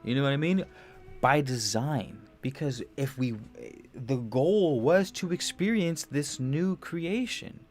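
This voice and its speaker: A young man hosting a livestream, rambling casually about spiritual ideas, restarting phrases, swearing loosely and getting animated on big points. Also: mid-range voice. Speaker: podcast host.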